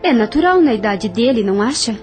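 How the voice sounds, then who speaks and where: An actress in an audio novel playing a proud, frank but kindly young widow on a colonial farm, speaking formally and directly. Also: female voice, fairly high pitch, expressive, brisk, music in the background, lightly acted. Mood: guarded, earnest.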